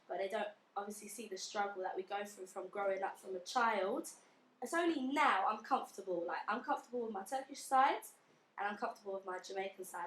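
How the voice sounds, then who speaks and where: A woman's voice: mid-range voice, slight background noise. Earnest woman in an echoey room. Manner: earnest, sincere. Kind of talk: group discussion.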